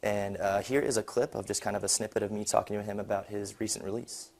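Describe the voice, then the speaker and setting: Fast-talking young man, lowish voice. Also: little pitch movement, a little mellow. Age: young adult. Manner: casual, conversational. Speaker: university student presenter. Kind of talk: informal slide presentation.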